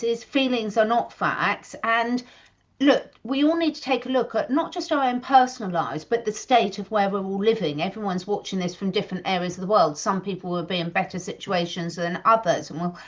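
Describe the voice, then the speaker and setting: A woman co-hosting a casual chat, talking earnestly in long, emphatic runs. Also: medium pitch. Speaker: co-host. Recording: slightly dull lo-fi audio.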